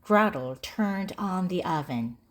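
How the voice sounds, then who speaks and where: Slow, expressive woman reading a fairy tale. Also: on the low side. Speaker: storybook narrator. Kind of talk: slow read-aloud storytelling for language learners.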